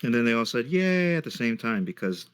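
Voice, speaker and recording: A man giving casual joking commentary among friends, dropping wry deadpan asides. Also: medium pitch, fairly quick, clear, resonant tone. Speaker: reader and co-commentator on a stream.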